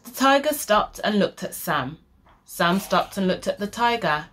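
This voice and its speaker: Young female storybook reader, mid-pitched, expressive read-aloud delivery, recorded at home. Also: measured pace. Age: young adult. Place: small room at home, indoors.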